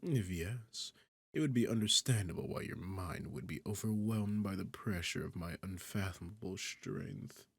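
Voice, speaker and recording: A man voicing an arrogant, seductive demon king in an ASMR roleplay, taunting the listener in a condescending, theatrical manner with a husky, gravelly voice. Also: low-pitched, no echo. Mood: haughty, condescending. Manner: taunting, condescending, theatrical, slow deliberate phrasing.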